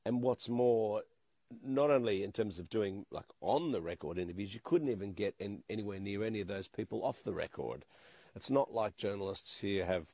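A man's voice: fairly low pitch, animated, moderate pace, dark tone, dry recording. A man, a long-serving foreign correspondent, explaining casually to the presenter. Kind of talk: reporter discussion.

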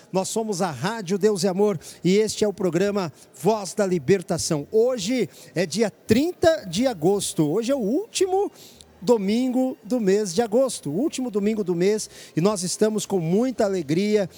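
A fervent evangelical radio host with a high, mellow voice. He speaks with urgent, preaching energy while broadcasting from a church. His tone rises and presses the listener. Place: church headquarters.

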